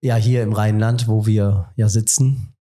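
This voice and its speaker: A man hosting a relaxed kitchen-table podcast in a rectory kitchen. He has a head cold and thinks aloud, pausing often with fillers. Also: fairly low pitch. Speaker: podcast host. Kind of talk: casual conversation.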